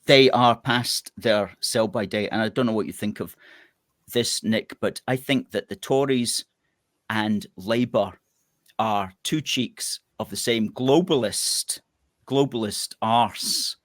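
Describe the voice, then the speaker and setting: A man's voice: fairly low pitch, takes it slowly, a bit bright, no echo, studio quality. A man interviewing a guest, giving strong opinions through long, rambling analogies. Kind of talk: political interview.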